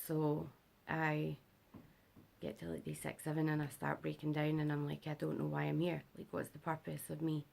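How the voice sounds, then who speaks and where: A young British woman giving a casual vlog update, her voice rough and worn because she is unwell and has not slept, rambling with lots of fillers. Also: completely flat delivery, normal speed, a little mellow. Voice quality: rough gravelly voice. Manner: rambling, filler-heavy, low-energy. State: unwell and sleep-deprived. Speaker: vlogger.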